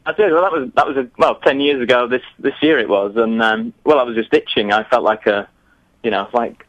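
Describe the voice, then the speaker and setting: A man's voice: medium pitch, brisk, fairly bright tone, dry recording, muffled recording. A man, answering interview questions in a chatty, hesitant way with many restarts. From northern England. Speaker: guest, geography teacher. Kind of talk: remote interview.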